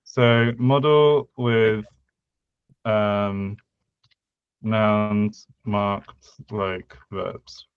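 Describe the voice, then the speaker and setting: A man's voice: on the low side, fairly even intonation, very slow, pauses often, clean tone, dry recording, narrow, tinny audio. A young man co-hosting a casual podcast about language design, thinking aloud with many fillers and restarts. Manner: hesitant, lots of fillers and restarts. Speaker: podcast co-host. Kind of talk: casual conlang design discussion.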